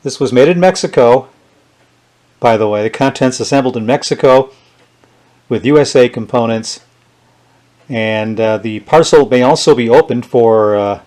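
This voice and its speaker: A man's voice: mid-range voice, moderate pace, long pauses, darkish tone. A man giving a casual, unscripted hands-on demonstration, thinking aloud as he tests things. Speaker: vintage electronics reviewer.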